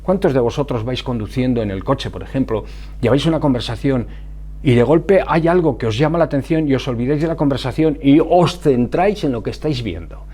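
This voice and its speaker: A man's voice: medium pitch, animated, a little fast, dark tone, husky. A man with an accent from Spain explaining things informally to viewers, didactic and emphatic, often answering his own questions. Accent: Spanish from Spain. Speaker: motorcycle safety vlogger.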